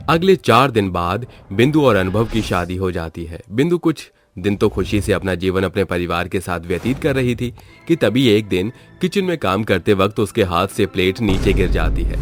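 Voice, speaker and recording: A man narrating a scripted moral story as its storyteller. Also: fairly low pitch.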